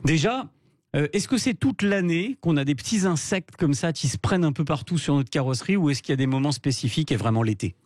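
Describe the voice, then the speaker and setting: A man co-hosting a radio show, talking in an outspoken, indignant way with quick asides. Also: a little high, expressive, darkish tone, no room sound. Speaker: radio co-host. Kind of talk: lively radio chat. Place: radio studio. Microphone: studio microphone.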